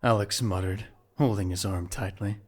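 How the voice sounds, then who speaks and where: A man's voice: lowish voice, moderate pace, darkish tone, breathy edge. A man giving scripted, dramatic story narration for an audio drama.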